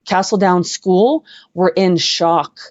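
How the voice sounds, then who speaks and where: A middle-aged woman with a western Canadian accent, telling personal stories as an interview guest in an earnest, halting way, repeating words for emphasis. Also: lowish voice, darkish tone, dry recording, highs cut off. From Edmonton, Canada. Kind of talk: personal storytelling in a live online interview.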